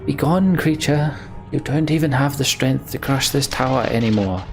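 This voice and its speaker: A man's voice: mid-range voice, moderate pace, pitched above the performer's own voice, lightly acted. A man voicing a defiant, exasperated fantasy game leader with an indignant, challenging manner. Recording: game music behind the voice.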